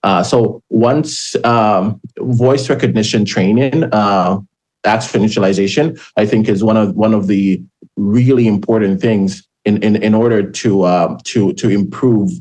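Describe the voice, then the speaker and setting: A Jamaican man hosting a panel, his voice sitting on the low side. He talks in a halting way, stopping and restarting his sentences with frequent fillers. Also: darkish tone. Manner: hesitant, many fillers and restarts. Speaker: podcast host. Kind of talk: panel discussion.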